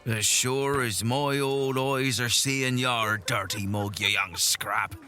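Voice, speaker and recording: An actor playing an old village storyteller in a fantasy audio drama, insistent and folksy, with old-fashioned turns of phrase. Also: male voice, medium pitch, steady tone, slow, pushed and bright. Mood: earnest, awed.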